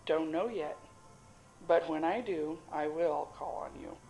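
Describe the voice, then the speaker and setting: A woman playing a warm, shrewd caravan cook who deals in secrets, with a folksy, teasing, knowing manner in an audio drama. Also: deep voice, unhurried, vocal fry. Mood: sly. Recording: roomy echo, music underneath.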